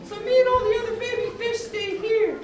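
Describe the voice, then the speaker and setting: A woman's voice: very high voice, fairly even intonation, fairly quick, a crowd in the background. A woman voicing a friendly, timid baby bat ray with a childlike, eager manner in a children's puppet show. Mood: earnest. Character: friendly, timid six-month-old baby bat ray named Rodrigo. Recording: very echoey, distant, noisy live recording.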